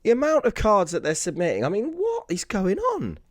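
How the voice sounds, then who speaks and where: A British man with a hoarse, raspy voice that sounds worn out, hosting a casual sports card unboxing video. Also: high-pitched, wildly swooping pitch, fairly quick, dry recording. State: hoarse, lost voice from screaming the night before.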